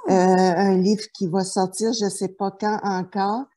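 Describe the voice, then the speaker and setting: A woman's voice: medium pitch, level tone, measured pace, dark tone. A middle-aged woman from New Brunswick, a therapist telling her life story in an interview, hesitant with many fillers and restarts. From New Brunswick, Canada. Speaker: therapist guest.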